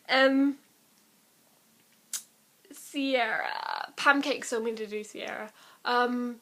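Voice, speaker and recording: Higher-voiced, giggly young woman chatting. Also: fairly bright tone. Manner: giggly, breaks into laughter at herself. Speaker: amateur vlogger doing impressions. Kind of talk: casual rambling chat with impressions.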